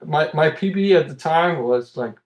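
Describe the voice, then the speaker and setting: A man's voice: on the high side, animated, moderate pace. A man from Iceland chatting casually as a podcast guest, telling stories in a conversational way. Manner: chuckles often while talking. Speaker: podcast guest, competitive retro game player.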